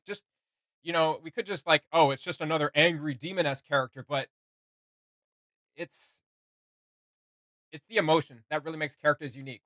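A young adult man giving casual, animated reaction commentary as a host. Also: a little high, no room sound. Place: small indoor room with gaming setup.

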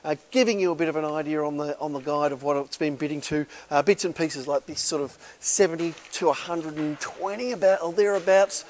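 A man's voice: a little high, darkish tone. A middle-aged man, walking through a large warehouse and giving a chatty, upbeat auction preview full of "uh" fillers. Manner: chatty and upbeat, many "uh" fillers, calls listeners folks. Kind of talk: casual walk-and-talk sales tour. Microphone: handheld camera while walking.

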